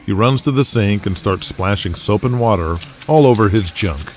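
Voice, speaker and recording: A man with a low voice narrates an audio drama in a scripted, storytelling style. There is faint noise behind him. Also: expressive, normal speed.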